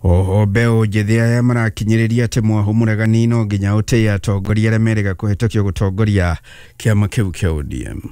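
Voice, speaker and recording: A man reads the radio news in a low, mellow voice. His tone is smooth and resonant, and the delivery is measured and formal. The studio sound is dry and close. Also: fairly even intonation, no echo. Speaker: news anchor. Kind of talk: scripted news reading.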